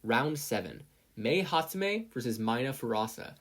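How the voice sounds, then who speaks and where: A narrator with a husky voice reading fan fiction as scripted audiobook-style narration. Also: medium pitch, lively intonation, unhurried.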